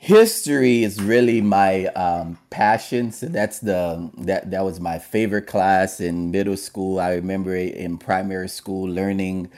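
Male teacher from Haiti, very deep voice, pauses and fillers as he answers a radio host. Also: darkish tone. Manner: hesitant, many fillers. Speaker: history and government teacher. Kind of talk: radio interview guest.

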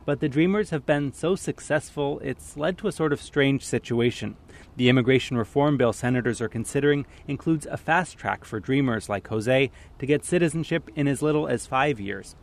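A man narrating a radio news feature in a measured, scripted delivery. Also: mid-range voice, creaky. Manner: measured, even reporting. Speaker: reporter.

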